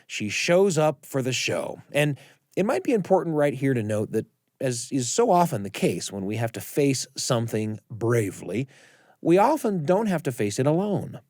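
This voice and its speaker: Man, storytelling host, scripted narration, animated intonation, suspenseful pauses, dry studio sound. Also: medium pitch, dry recording.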